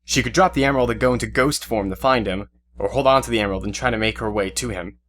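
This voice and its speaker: A man's voice: medium pitch, fast, a bit bright, slight background noise. A man reading scripted story narration for an audio drama. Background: music, guitar.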